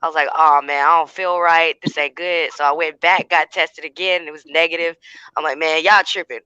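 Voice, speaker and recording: A man's voice: a little high, fairly quick, clear, resonant tone, dry recording, highs cut off. A man in casual chat who tells stories with energy and acts out what people said. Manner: animated storyteller, acts out quotes. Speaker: co-host.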